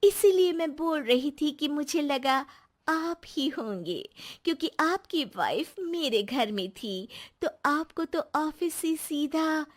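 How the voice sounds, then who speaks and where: A woman's voice: high-pitched, lively intonation, takes it slowly. A woman voicing a coy, polite, teasing young married neighbour in a cartoon comedy. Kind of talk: Hindi cartoon comedy acting.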